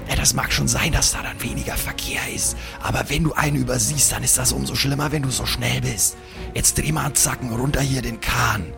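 A gruff, gravelly grown man on a boat, put on by a player, cautioning in a grumbling way. Loud music plays behind him the whole time. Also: medium pitch, fairly even intonation, brisk. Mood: scolding.

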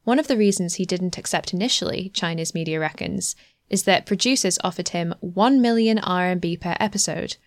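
A woman reading a scripted news feature in an even, measured way into a close studio mic. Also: fairly low pitch, dry recording. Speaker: news reader.

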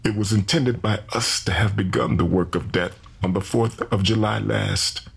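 Historical drama, male actor as enslaved rebel preacher, thirties, solemn and prophetic, husky with a creaky rasp. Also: fairly low pitch, steady tone, heavily acted. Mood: grave, resolute. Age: 30s. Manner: solemn, deliberate, scriptural phrasing. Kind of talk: dramatized first-person confession.

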